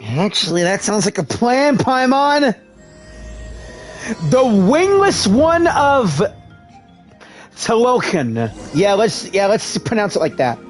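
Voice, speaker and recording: Male gaming creator, slow delivery, theatrical, exclamatory voice-over, faint game music. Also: high-pitched, huge pitch swings. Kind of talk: playful live game voice-over.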